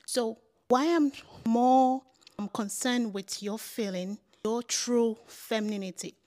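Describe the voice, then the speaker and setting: A woman's voice: on the high side, slow pace, dark tone. Emphatic woman coaching with repetition. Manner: emphatic, repeats key phrases. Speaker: relationship coach. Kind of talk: podcast conversation.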